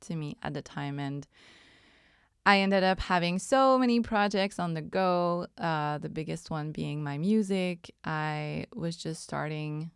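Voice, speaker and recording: A woman in her thirties from Montreal, a native French speaker with a French Canadian accent, hosting a reflective solo podcast in a musing, unhurried way. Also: deep voice, smooth, no echo, studio quality. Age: 30s. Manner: unhurried, musing, with many ums and soft laughs. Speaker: podcast host.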